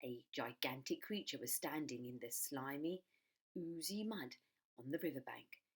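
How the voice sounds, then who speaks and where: A woman reading a children's storybook aloud in an expressive narrating style, like a primary school storytime. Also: rumbling low, normal speed, husky.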